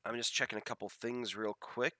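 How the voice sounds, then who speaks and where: A man's voice: mid-range voice, little pitch movement, fairly quick, bright, forceful tone, no echo, clean studio sound. A man hosting a live game stream, chatting casually and self-deprecatingly to viewers through a headset, congested from a head cold.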